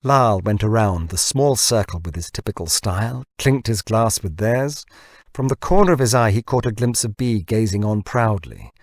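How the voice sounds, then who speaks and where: A man reading a novel aloud as scripted narration, in a measured and expressive storytelling manner. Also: lowish voice, dry recording. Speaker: audiobook narrator.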